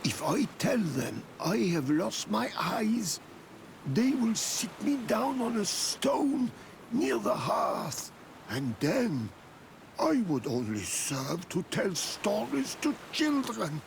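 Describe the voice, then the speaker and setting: A sad proud blind gatherer in a game, gravelly and halting, voice swings up and down, some background noise. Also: male voice, high voice, sing-song, heavily acted. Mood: wounded pride. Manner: halting, solemn, mournful. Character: despairing blind herb gatherer, proud male villager. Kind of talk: video game cutscene acting.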